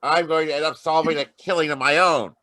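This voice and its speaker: A man's voice: a little high, steady tone, brisk, bright, forceful tone, no room sound. A man playing a short-tempered police lieutenant in an old-time radio detective drama, grumbling and blustering. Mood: exasperated. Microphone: video call.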